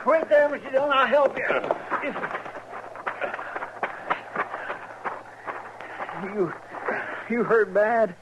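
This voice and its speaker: An actor playing a loyal, anxious deputy in an old radio western, with a folksy rural American drawl and halting, deferential speech. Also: male voice, extremely high pitch. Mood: alarmed, helpful. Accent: rural American West drawl. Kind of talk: 1950s American radio drama acting. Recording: noisy, muffled vintage radio recording.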